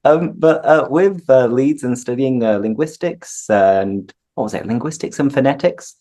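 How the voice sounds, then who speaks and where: A young man from Yorkshire with a mild, neutral Yorkshire accent, hosting a relaxed interview as an English teacher and using many "uh" fillers. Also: medium pitch, moderate pace. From Yorkshire, England. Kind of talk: casual interview.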